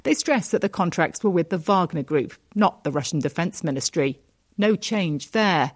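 A woman correspondent narrating a scripted news report in a steady, deliberate way. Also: on the low side. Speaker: foreign correspondent.

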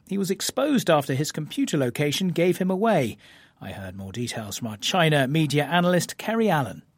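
A man presenting the news in a measured, formal way, reading reports and questioning a guest. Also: fairly high pitch, dry recording. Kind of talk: broadcast news reading and interviewing.

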